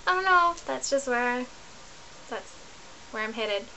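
A woman's voice: high voice, expressive, a little slow, pushed and bright, a little hiss or hum, low-quality recording. A young woman from New Zealand, chatting to camera in a rambling, self-correcting way from her bedroom, recorded on a laptop webcam. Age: young adult. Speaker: vlogger. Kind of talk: casual chat to camera. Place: small bedroom indoors.

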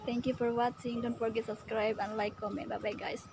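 A woman's voice: fairly high pitch, flat delivery, a little fast. A young woman talking casually and cheerfully to her viewers on a travel vlog, filming herself with a phone on a rural road. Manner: cheerful, simple phrasing, repeats "guys". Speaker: vlogger. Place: outdoors on a rural road, riding. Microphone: phone camera held at arm's length. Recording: loud outdoor noise from wind and traffic.

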